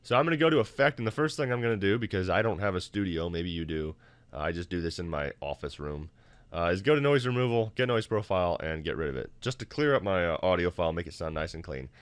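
A man with a fairly low voice explains things casually, as in a homemade screen tutorial. He is informal and self-deprecating, recorded close and dry in a home office. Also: expressive, fast talker, clear, resonant tone, dry recording. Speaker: tutorial host.

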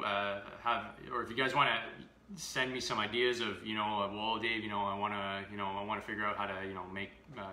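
A man's voice: on the low side, pushed and bright. A man in his thirties casually demonstrating meal prep in his home kitchen, chatty and upbeat with lots of fillers, picked up by a camera a few feet away. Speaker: fitness vlogger. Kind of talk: casual live-stream demo. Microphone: camera mic from a few feet away. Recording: roomy kitchen echo.

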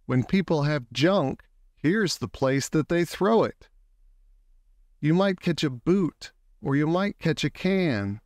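A man's voice: fairly high pitch, clear, resonant tone, no room sound, clean studio sound. A man voicing a mocking, lecturing farmer who scoffs at a boy's hopes in a children's storybook.

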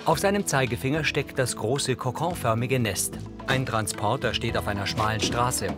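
A man's voice: medium pitch, moderate pace, over music. A man reading scripted audio description in a neutral, matter-of-fact way.

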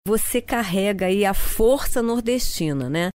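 A woman's voice: mid-range voice, expressive, a little slow, a little mellow, no room sound, clean studio sound. A woman hosting a radio podcast interview, friendly and affirming, asking leading questions.

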